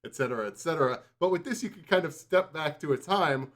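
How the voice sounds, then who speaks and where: A man's voice: a little high, expressive, quick pace, a bit bright. Casual, rambling male podcast reviewer. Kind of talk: casual chat.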